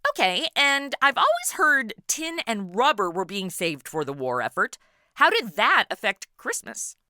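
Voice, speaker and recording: A woman's voice: fairly high pitch, huge pitch swings, measured pace, pushed and bright, dry recording, clean studio sound. A woman hosting a podcast interview in a casual, playful, quippy conversational way. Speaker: podcast host.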